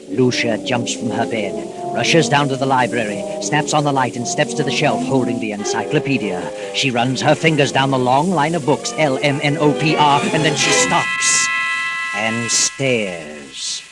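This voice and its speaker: An actor playing a grave, suspenseful storyteller, narrating a 1940s radio mystery in a deliberate, dramatic manner. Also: male voice, medium pitch, lively intonation, close to an everyday voice. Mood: urgent. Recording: vintage 1940s radio recording. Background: music.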